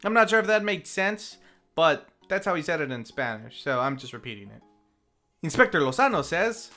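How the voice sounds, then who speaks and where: Animated host narrating a scripted review. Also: medium pitch, normal speed, soft background music. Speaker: host of a film review series.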